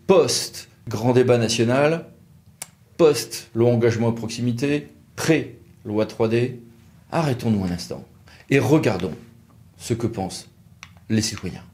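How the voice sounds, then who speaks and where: A man's voice: mid-range voice, unhurried, long pauses, a little mellow. A man presenting and putting questions to a guest in a conversational style, setting out his words phrase by phrase. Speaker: interviewer and presenter.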